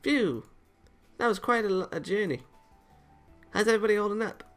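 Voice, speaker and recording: A man's voice: high-pitched, lively intonation, a little fast, fairly bright tone, soft background music, pitched above the performer's own voice. A man voicing a cheerful, mischievous young anime girl in a visual novel, teasing in a sing-song, playful way.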